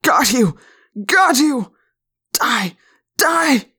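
A woman voicing a panicked, hoarse man who shouts desperate warnings in an audiobook story. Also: sits high, animated, drawn-out words, pitched above the performer's own voice, heavily acted. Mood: frantic, enraged. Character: panicked, staggering man screaming warnings in public.

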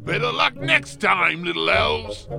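A man's voice: high voice, lively intonation, vocal fry, exaggerated voice. An actor playing a bombastic arena announcer who relishes bloody monster fights, drawing out words like a showman in an audio drama. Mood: gleeful showmanship. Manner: shouted, theatrical, drawn-out showman delivery. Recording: loud music under the voice.

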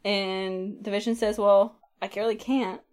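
A woman co-hosts a casual podcast, recapping a comic story in a conversational way. Her voice sits at a medium pitch. She is playful, voicing quoted lines and dropping in asides as she goes. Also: flat delivery, measured pace, a little mellow. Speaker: podcast host.

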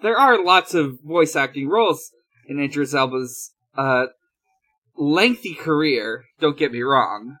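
Higher-pitched man, slow halting podcast chat. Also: animated, sharp tone. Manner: halting, hesitant delivery with drawn-out pauses. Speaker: podcast host. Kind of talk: casual podcast chat, sharing news.

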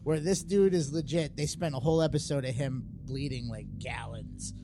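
A man's voice: medium pitch, normal speed, music in the background. A young man co-hosting a casual podcast, rambling and joking with friends. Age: young adult. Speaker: podcast co-host. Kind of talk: casual banter.

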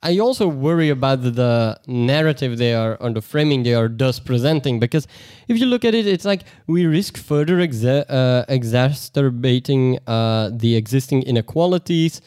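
A man's voice: medium pitch, expressive, moderate pace, hardly any pauses, a little mellow, clear, resonant tone, dry recording, clean studio sound. A man in a casual discussion who hesitates with many "uh"s and speaks bluntly.

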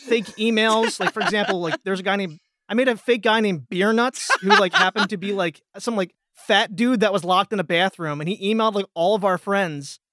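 A man with a high voice rattles through casual stories at a quick clip. He is slangy and animated, and the sound is dry and close. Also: no room sound. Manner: animated, slangy, acts out quoted reactions. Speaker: voice actor guest. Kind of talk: casual chat, telling anecdotes.

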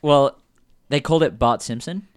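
A man with an Australian accent hosting a casual podcast chat, blunt and deadpan. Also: medium pitch, normal speed, clear, resonant tone, dry recording, studio quality. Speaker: podcast host.

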